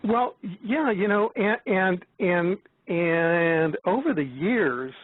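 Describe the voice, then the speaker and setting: Older american guy around 70, rambling interview guest, kinda high husky voice, keeps restarting, tinny phone line. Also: lively intonation, no room sound. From United States, born in Florida. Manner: halting, restarts and repeats words. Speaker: guest, author and researcher.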